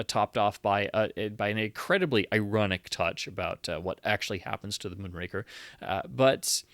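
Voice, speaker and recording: A man giving an unscripted, analytical book review, speaking thoughtfully and haltingly as he works through his points. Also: on the low side, a bit bright, no echo. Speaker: book reviewer, channel host.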